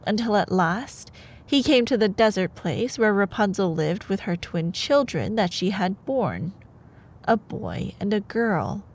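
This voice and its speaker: A woman playing a sweet, playful, opinionated girlfriend in an intimate bedtime-story ASMR roleplay, expressive in her delivery. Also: medium pitch. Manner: expressive, exasperated asides between measured reading.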